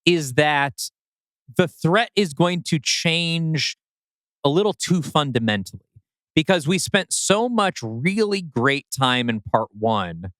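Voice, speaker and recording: A man co-hosting a podcast, discussing a story in a casual, analytical way with thoughtful, measured phrasing. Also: on the high side, fairly bright tone, no echo. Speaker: podcast co-host.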